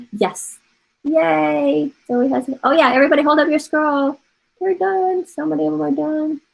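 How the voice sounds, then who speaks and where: A woman's voice: high voice, creaky, rough recording. A woman in her forties from Taiwan, a native Mandarin speaker, teaching an online college art class from home in a chatty, encouraging way, often laughing and thinking aloud. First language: Mandarin Chinese. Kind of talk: casual online class instruction. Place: home room with bookshelves. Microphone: webcam on a video call.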